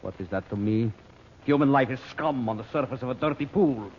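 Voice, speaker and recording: An actor playing a megalomaniac villain scientist, grandiose and menacing, in old-time radio serial style. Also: male voice, medium pitch, brisk, close to an everyday voice. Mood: cold, commanding. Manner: grandiose, declamatory. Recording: muffled old radio recording with noise.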